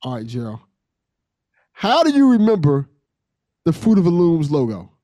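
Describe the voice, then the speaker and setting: Animated higher-voiced American man hosting a podcast. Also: moderate pace, no room sound. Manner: jokey, informal. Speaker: podcast host. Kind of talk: casual conversational interviewing.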